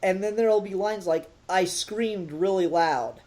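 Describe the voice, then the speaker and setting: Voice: medium pitch, a little slow, a little mellow. This is a podcast host in casual review conversation. The delivery is critical and exasperated, with emphatic stress on the points being made.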